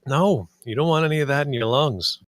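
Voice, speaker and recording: A man acts a resourceful space assessor, gruff and pedantic. His voice sits at a middle pitch, with a dry, close sound. The delivery is that of a script table read. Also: fairly quick, a bit bright, no room sound, natural voice. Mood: dry, muttering.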